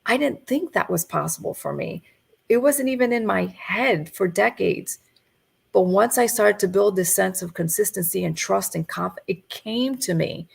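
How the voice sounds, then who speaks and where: A woman in her fifties, a coach, giving a gentle, reflective motivational talk over a video call. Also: mid-range voice, animated, moderate pace, creaky, husky. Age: 50s.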